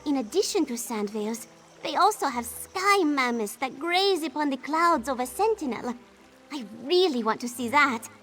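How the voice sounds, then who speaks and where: Fantasy game traveller, female, acted: excitable oversharer, very high and bouncy, easily flustered. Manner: chatty, flustered. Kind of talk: scripted fantasy game dialogue.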